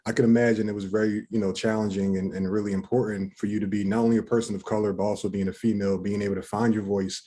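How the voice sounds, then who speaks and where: A man's voice: lowish voice, level tone, quick pace, hardly any pauses, warm tone. An American man from Philadelphia hosting a conversational interview. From North Philadelphia, United States. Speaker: interviewer, host.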